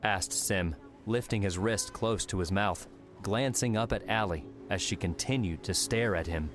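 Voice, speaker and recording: A man narrates fiction in a very deep voice. It is a scripted audiobook reading, with soft music behind him. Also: normal speed.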